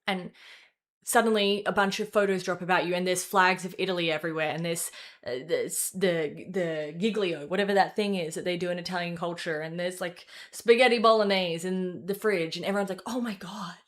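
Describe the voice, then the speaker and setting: A young woman hosting a casual political commentary show, talking in a rambling way with swearing and quick self-corrections. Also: lowish voice, fairly even intonation. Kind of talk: casual opinion commentary to camera.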